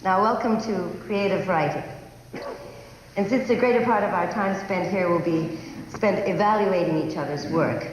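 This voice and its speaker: An actress playing an earnest, slightly flustered college creative writing teacher. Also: female voice, mid-range voice, moderate pace, distant mic, crowd noise, close to an everyday voice. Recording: echoey classroom, faint noise.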